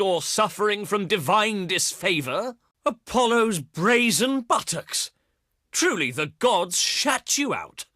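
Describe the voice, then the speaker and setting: Ancient Greek diplomat, pompous, eloquent, slow and measured, higher-pitched male voice, theatrical game delivery. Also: pushed and bright, no room sound, clean studio sound, exaggerated voice. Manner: pompous, declamatory, measured oratory. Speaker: video game voice actor. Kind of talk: theatrical scripted game voice lines.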